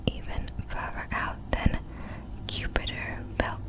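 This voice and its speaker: A woman narrates facts in ASMR style, entirely in a breathy whisper. She goes very slowly and deliberately, leaving gentle pauses between short phrases. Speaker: ASMR creator.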